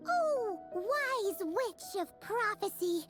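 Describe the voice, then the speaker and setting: A tiny, childlike game sidekick, with a very high, swooping voice. The talk is chatty, cheeky and unhurried, with music playing behind it. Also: full character voice. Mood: hopeful. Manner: childlike, expressive. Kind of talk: game character dialogue.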